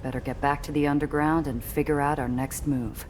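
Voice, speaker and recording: Noir game lead, played by a woman: extremely low female register, gritty and defiant, dramatic first-person narration. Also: steady tone, a little fast, darkish tone, a little hiss or hum, lightly acted. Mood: brooding, resolute. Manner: hardboiled, dramatic delivery.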